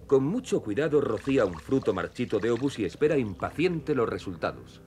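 A man's voice: medium pitch, very animated, brisk, a little mellow, slight background noise. A man narrating a children's cartoon in a scripted storytelling style.

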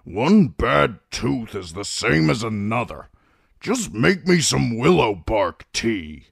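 Guy doing a grumbly old clan elder from an audiobook, gravelly, slow, impatient and proud. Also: mid-range voice, very animated, dry recording, clean studio sound, pitched above the performer's own voice, heavily acted. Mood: grumbling, impatient.

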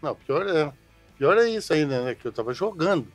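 A man's voice: fairly high pitch, sing-song, brisk, soft background music. An older Brazilian man, a former player and coach, chatting and joking about himself on a podcast. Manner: chatty, joking, self-mocking. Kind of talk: casual podcast chat. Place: podcast studio. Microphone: desk mic on a stand.

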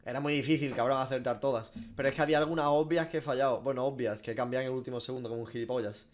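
A man's voice: medium pitch, steady tone, very fast, a little hiss or hum. A man, giving casual, profanity-laced reaction commentary as a gaming streamer. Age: 20s. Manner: slangy, profane. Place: small room at home.

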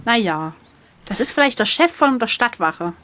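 A woman's voice: on the high side, lively intonation, moderate pace, pushed and bright, slight background noise. A woman chatting casually as the player in an adventure game, reacting in a playful, jokey, theatrical way. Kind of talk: casual chat.

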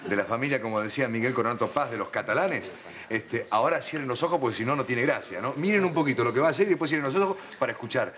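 A man's voice: mid-range voice, racing, fairly bright tone. A middle-aged Argentine man hosting a live television show, speaking in a studio on an old recording. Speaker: host. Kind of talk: live TV presenting. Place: large TV studio. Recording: clearly noisy old TV recording.

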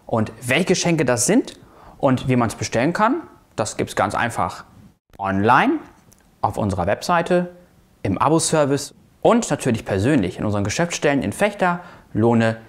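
A man's voice: medium pitch, big pitch swings, moderate pace. Young man, emphatic promotional presenter. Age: 20s. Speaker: newspaper presenter.

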